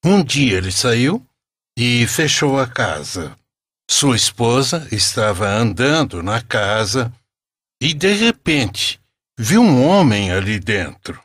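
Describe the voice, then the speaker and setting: Slow, expressive male storyteller. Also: medium pitch, no room sound, studio quality. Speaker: narrator. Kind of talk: scripted religious story narration.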